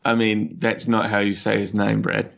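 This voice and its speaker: A man's voice: lowish voice, flat delivery, normal speed, gravelly, fairly bright tone. A man, teasing and bantering casually as a podcast player over a lo-fi connection. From New Zealand.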